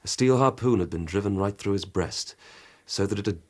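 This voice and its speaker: An actor playing an earnest, proud police detective in a radio drama, formal and methodical, with a dramatic way of telling things. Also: male voice, fairly low pitch, brisk, natural voice. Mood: grave, dramatic. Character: earnest, proud male police detective reporting a grisly murder.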